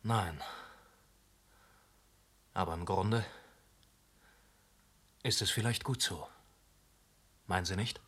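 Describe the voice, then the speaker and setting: An actor playing a dry, unruffled man at a murder inquiry, speaking in a measured, wry way in a German radio play. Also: low voice, fairly bright tone, breathy edge, exaggerated voice. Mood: sober, wry.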